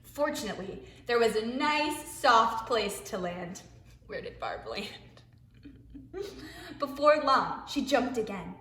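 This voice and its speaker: A woman, a preschool teacher, reading a picture book aloud to young children in an expressive way, with hushed asides. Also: a little high, takes it slowly. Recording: echoey room.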